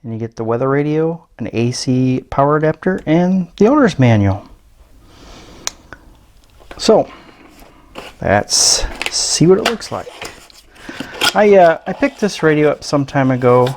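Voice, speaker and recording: A middle-aged American man giving a casual, unscripted product walkthrough in a low-key, hesitant way. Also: very animated, measured pace, long pauses. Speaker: gadget reviewer. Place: small indoor room at a tabletop. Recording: clear background noise with clicks.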